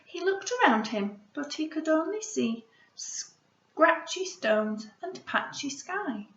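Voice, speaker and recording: A woman, a primary school teacher, reading a storybook aloud to children in an expressive way, with hushed asides to her listeners. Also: sits high, slow. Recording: roomy echo, slightly dull sound.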